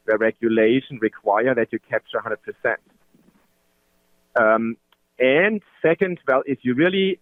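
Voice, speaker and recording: Guy giving a business webinar, kinda halting, medium pitch, sounds like a dry phone line. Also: dry recording. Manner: halting, with frequent interjected "well" and self-questions. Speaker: webinar presenter from a call analytics company. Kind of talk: semi-scripted business webinar presentation.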